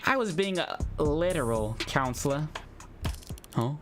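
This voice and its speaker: A man's voice: fairly high pitch, expressive, a little slow. A man livestreaming a visual novel, chatting casually with his viewers in a slangy, jokey, profane way. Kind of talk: casual livestream chat with game dialogue read aloud. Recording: music behind the voice. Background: game soundtrack music.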